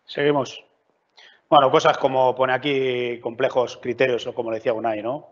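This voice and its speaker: A man's voice: medium pitch, little pitch movement, normal speed. A man from giving a conversational technical talk at a meetup, often checking in with the audience. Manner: conversational, frequent filler checks. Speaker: meetup speaker. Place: large meeting room. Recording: lo-fi roomy hall recording.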